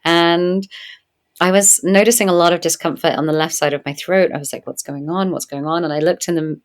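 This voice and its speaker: Deep-voiced woman, reflective interview guest. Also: fairly quick. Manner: reflective, earnest, animated when telling stories. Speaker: podcast guest, medicine woman. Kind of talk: conversational interview.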